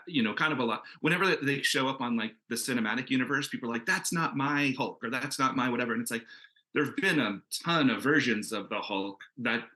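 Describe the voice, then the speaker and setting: A man answering as a creator on a casual panel over a video call, chatty and halting as he thinks aloud. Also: mid-range voice, pushed and bright. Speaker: comic book creator and panel guest.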